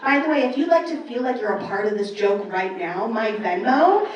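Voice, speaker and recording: A woman's voice: mid-range voice, animated, normal speed, dull audio, noisy background. A woman in her thirties performing a brash, casual stand-up set on a club stage, telling stories animatedly and acting out exchanges, with some swearing. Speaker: stand-up comedian. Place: live comedy club stage. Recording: roomy echoing club sound, distant.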